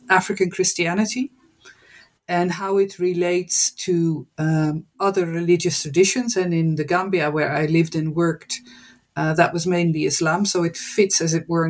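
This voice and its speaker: A guest professor answers questions slowly and carefully, often pausing with fillers. The voice sits in a medium register. The speaker sounds reflective and unhurried. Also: mid-range voice. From Netherlands. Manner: thoughtful, hesitant, many fillers. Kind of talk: interview answer.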